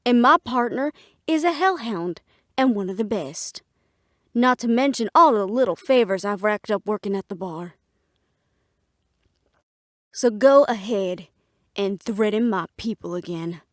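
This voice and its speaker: A woman's voice: medium pitch, expressive, measured pace, pauses often, dry recording, clean studio sound, lightly acted. A woman playing a defiant, fiercely independent half-fae daughter, blunt and sarcastic, in a fantasy audio drama. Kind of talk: fantasy audio roleplay acting.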